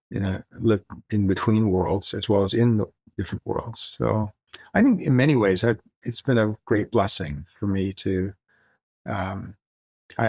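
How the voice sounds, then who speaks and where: A man's voice: fairly low pitch, a little mellow, husky, dry recording. An older man from the Far East, first language Mandarin, talking reflectively as a guest author in a podcast interview, searching for words with light laughs.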